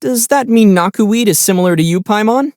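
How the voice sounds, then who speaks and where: A man playing a calm young adult traveler hero in a video game, with a playful, teasing and wry way of talking. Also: sits high, fairly quick, no room sound, studio quality.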